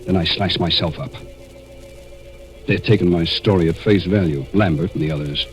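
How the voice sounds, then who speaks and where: An American actor playing a cold, scheming murderer who narrates deliberately and suspensefully in a 1940s radio mystery. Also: male voice, deep voice, close to an everyday voice. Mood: calculating. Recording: vintage 1940s radio recording, music behind.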